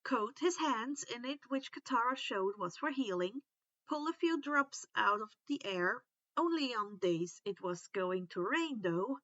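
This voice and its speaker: Female story narrator, high voice, expressive delivery with drawn-out emphasis, slightly muffled lo-fi sound. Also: dry recording. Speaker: audiobook-style reader of fan fiction.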